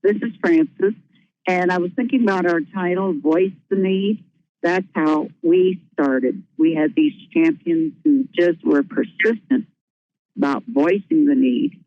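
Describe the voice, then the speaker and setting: Panel speaker, raspy fry in the voice, informal advice, dry phone sound. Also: mid-range voice, measured pace, no echo. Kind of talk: informal, advisory panel remarks. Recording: thin phone-line audio.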